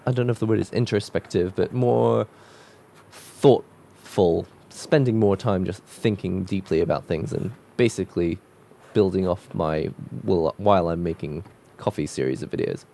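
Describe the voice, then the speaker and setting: Croaky, low-voiced man musing while drawing. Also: normal speed, warm tone. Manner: musing, loose, with frequent restarts. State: croaky from a cold. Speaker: art vlogger drawing on camera. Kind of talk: casual reflective voice-over while drawing.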